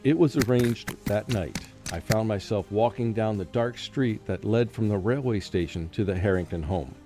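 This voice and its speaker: A man acts out a frightened professor in a radio horror drama. His voice sits low, halting and brooding, with music playing clearly underneath. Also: natural voice. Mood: rising terror. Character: frightened haunted male college professor recounting a curse.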